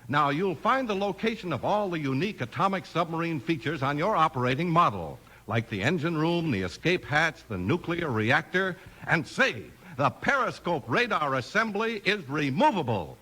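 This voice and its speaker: Male 1950s radio pitchman, American, husky and forceful, very animated delivery, emphatic. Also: moderate pace, few pauses. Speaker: announcer. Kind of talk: 1950s radio advertising and narration.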